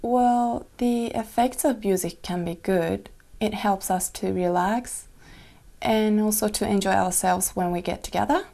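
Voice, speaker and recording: A woman's voice: mid-range voice, measured pace, vocal fry, mellow. A young woman from Japan answering exam questions in simple, careful English with small slips. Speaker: test candidate. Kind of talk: mock speaking exam answers.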